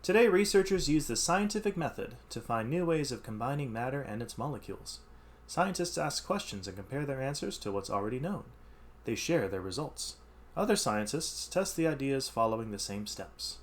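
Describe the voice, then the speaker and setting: A man's voice: mid-range voice, very animated, normal speed. A man reading educational text aloud as a teacher, stressing key words and adding informal explanatory asides.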